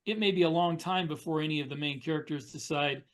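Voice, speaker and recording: Man, slightly high pitch, halting, repeated words, casual podcast panelist. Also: a bit bright. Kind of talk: casual discussion.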